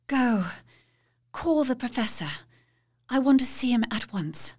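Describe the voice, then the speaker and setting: An actress playing a haunted, earnest young wife in an audio drama, speaking in a slow, measured way. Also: female voice, on the high side, lively intonation, a little mellow. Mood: urgent. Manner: slow, measured, dreamy under trance.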